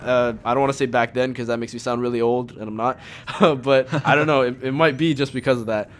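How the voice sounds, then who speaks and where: A man's voice: medium pitch, fairly even intonation, quick pace, a little hiss or hum. A young man hosting a gaming show, chatting casually in a rambling, hedging way. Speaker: host of a gaming video series. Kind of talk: casual chat over gameplay.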